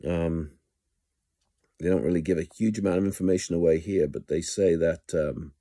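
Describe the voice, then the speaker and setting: Hesitant low-voiced middle-aged man. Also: steady tone, mellow, dry recording, clean studio sound. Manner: halting, frequent ums and restarts. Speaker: news blogger. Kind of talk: casual video commentary. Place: indoors at home, small room. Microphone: phone camera held close.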